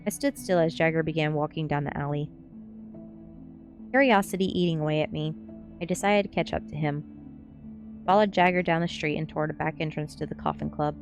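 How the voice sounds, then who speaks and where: A woman's voice: low-pitched, a little fast, long pauses, soft background music. A woman reading a novel aloud as narration on an ASMR stream, with the occasional slip.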